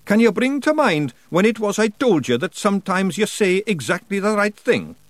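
A man's voice: high-pitched, big pitch swings, moderate pace, no room sound, pitched above the performer's own voice. A man voicing a tiny, brash male fairy warrior chief with a Scottish accent, boisterous and cheeky. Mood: sly.

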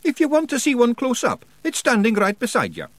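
A man voicing a tiny gruff Scottish fairy warrior chief from a storybook, with blunt, bantering dialect. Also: very high-pitched, wildly swooping pitch, brisk, no room sound, voice pushed up, full character voice. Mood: conversational. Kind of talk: audiobook storybook character voice.

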